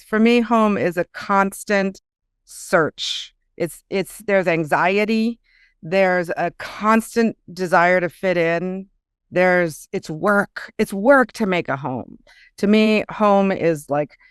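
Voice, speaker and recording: A woman guest author chatting casually in an interview, telling things in an animated way and acting out quotes. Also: on the low side, clear, resonant tone, no echo, clean studio sound.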